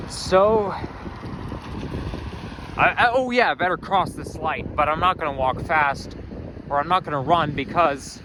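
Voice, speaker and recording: A man with a loud voice chatting as he walks through town, rambling and thinking aloud with small restarts, filming a casual walking vlog outdoors. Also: on the high side. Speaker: vlogger. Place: snowy city street outdoors. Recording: street noise and wind on mic. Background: traffic and wind.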